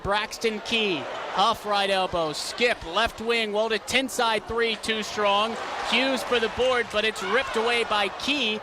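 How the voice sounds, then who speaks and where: A young American man doing sports broadcast commentary in clipped, energetic phrases, as an announcer and host. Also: high voice, background noise. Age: young adult.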